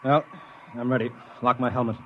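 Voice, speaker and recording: A man's voice: mid-range voice, fairly even intonation, fairly quick, slight background noise, lightly acted. An actor playing a resolute, practical spaceman in a 1950s radio drama, speaking decisively and dramatically. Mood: urgent, determined. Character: resolute, practical spaceman narrating his storm ordeal. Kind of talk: 1950s radio drama acting, with first-person narration. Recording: muffled old radio recording with music.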